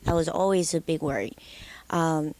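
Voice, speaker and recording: A woman's voice: sits low, moderate pace, a little mellow. Candid young woman from the Dominican Republic. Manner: candid, reflective, with frequent restarts. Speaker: guest musician. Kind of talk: interview conversation.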